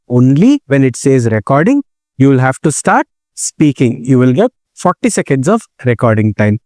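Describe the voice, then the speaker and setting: A man's voice: a little high, mellow, no room sound, studio quality. A man teaching an exam speaking task, explaining step by step and asking rhetorical questions, often checking in with "correct?" and "okay". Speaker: test prep instructor. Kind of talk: slide-based exam tutorial.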